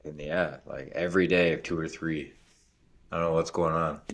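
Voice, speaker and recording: A man co-hosting a podcast about fatherhood, talking in a relaxed, rambling way with frequent restarts. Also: a bass voice, normal speed. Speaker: podcast co-host. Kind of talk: casual podcast chat.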